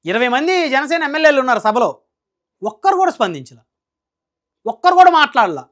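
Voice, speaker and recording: A man delivers political news commentary at a rapid clip. He is emphatic and scolding. He often presses his point with rhetorical questions. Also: very high-pitched, big pitch swings, a bit bright.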